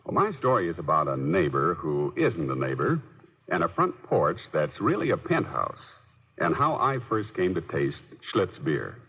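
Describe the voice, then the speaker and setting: Folksy neighbor telling a story in an old radio ad, deep voice, big reverb. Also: male voice, wildly swooping pitch, normal speed, a bit bright, breathy edge, heavily acted. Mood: wistful. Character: wistful, neighborly beer drinker telling his story. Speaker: testimonial storyteller in a beer commercial. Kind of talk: 1950s radio ad monologue, scripted narration.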